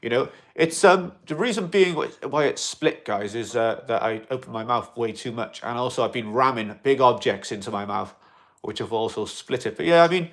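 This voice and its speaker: A man in an alien costume, chatting casually on a livestream and answering viewer comments in a rambling, excitable way. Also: medium pitch, normal speed. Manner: rambling and excitable, with many fillers and restarts; loud bursts of excitement between quieter asides.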